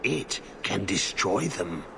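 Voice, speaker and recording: An actor playing a half-mad, haunted older Grey Warden in a video game, gravelly, muttering in broken, repeated phrases. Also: male voice, on the low side, very animated, noisy background, full character voice. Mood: cryptic, halting. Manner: halting, muttering, fragmented repetitive phrases. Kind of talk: video game dramatic acting.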